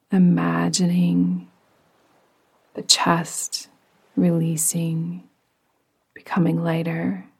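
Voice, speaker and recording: A woman guiding a relaxation meditation in a soft, breathy, partly whispery voice with a soothing, gentle, unhurried manner. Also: fairly low pitch, poor audio. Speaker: yoga nidra meditation guide.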